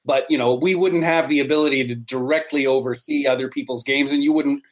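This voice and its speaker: A man talking casually as an interview guest in a measured, diplomatic way. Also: mid-range voice, fairly even intonation. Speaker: interview guest, audio drama producer.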